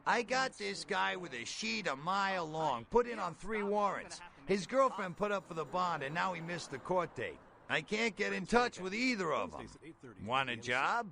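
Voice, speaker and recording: A man's voice: sits high, expressive, normal speed, vocal fry, bright, forceful tone, heavily acted. An actor playing a jittery, folksy bail bondsman in a video game, talking in colloquial street slang. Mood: hassled, shifty.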